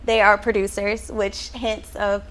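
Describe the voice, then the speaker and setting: A woman's voice: mid-range voice, little pitch movement, measured pace, fairly bright tone. A young woman from Houston, a rapper and songwriter, chatting upbeat in an interview and thinking aloud with frequent ums. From Houston, Texas. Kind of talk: casual interview chat.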